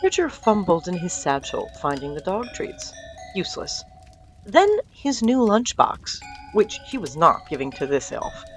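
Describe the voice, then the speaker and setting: Woman storyteller, slightly low voice, animated intonation, dramatic comic narration, faint music bed. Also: unhurried, poor audio.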